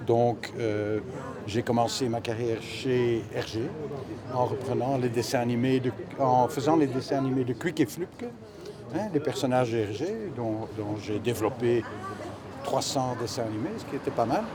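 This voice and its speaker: A man's voice: medium pitch, moderate pace. A man from Belgium, a native Dutch speaker, answering casually as an interviewed cartoonist. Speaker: interviewed cartoonist and press illustrator. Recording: busy crowd chatter around the voice. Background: crowd chatter.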